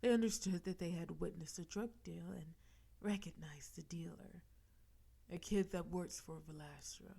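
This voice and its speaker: A woman's voice: fairly low pitch, dark tone. A woman playing a flirty, menacing mafia boss in an audio roleplay, confident and smug, with a teasing, suggestive delivery. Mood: businesslike, smooth. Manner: teasing, suggestive, slow deliberate delivery with breathy asides. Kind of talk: audio roleplay with gumshoe cliches.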